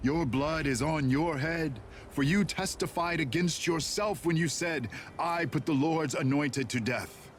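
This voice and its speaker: An actor playing a grave, stern warrior leader in a dramatised audio Bible, speaking solemnly and deliberately. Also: male voice, fairly high pitch, close to an everyday voice. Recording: clearly audible background noise and ambience.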